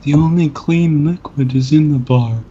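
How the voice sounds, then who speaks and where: A man's voice: a little high, moderate pace, mellow, dull audio, slight background noise. A man trading casual banter as a player in a tabletop roleplaying game.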